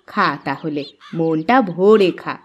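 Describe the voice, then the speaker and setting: A woman's voice: sits low, slow pace, highs cut off. A woman playing a kind, hospitable village mother in a fairy-tale cartoon, coaxing and motherly. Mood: caring.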